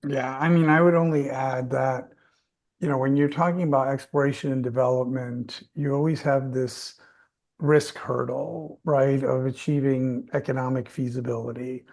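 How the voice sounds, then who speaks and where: A man's voice: mid-range voice, fairly even intonation, moderate pace, creaky, darkish tone. A man speaking as a company executive, answering questions off the cuff on an earnings call and repeating phrases for emphasis.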